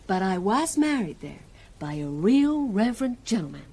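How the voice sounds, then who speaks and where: An actress playing a brassy, defiant criminal's wife in a radio drama, with an American accent and a sardonic, slangy, emotional manner. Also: female voice, mid-range voice, very animated, normal speed, warm tone. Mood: defiant, wistful.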